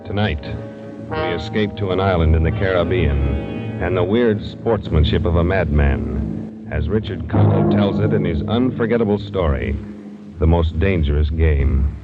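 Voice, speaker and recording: A muffled old radio recording with loud music behind a male narrator. His very low voice gives a dramatic, ominous introduction in 1940s radio style. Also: animated, a little slow. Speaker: programme narrator.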